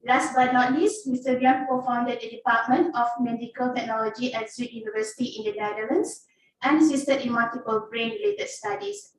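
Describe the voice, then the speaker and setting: A woman moderator reads out a formal introduction in a slightly high voice. She sounds far from the microphone in a strongly reverberant room, with faint background hiss. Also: brisk. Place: lecture room. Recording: very echoey hall, from far off.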